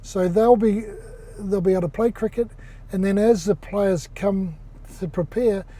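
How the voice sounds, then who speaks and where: A man with a New Zealand accent speaks in a mellow, fairly high voice. He talks with an older executive's easy, halting rhythm, full of fillers and restarts. He comes across as a relaxed guest in a casual interview.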